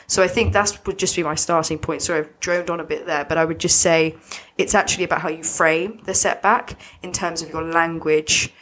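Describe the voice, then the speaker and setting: A woman co-hosting a podcast, a little sniffly and husky from a cold, explaining her thoughts at length. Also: sits low, fairly even intonation, normal speed, fairly bright tone, a little hiss or hum. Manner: reflective, talks in long loose explanations. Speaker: podcast co-host. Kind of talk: casual podcast chat.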